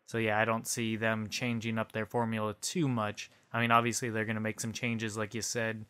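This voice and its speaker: Man, podcast co-host, casual conversation, clear resonant voice, little pitch movement. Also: completely flat delivery, brisk, pushed and bright.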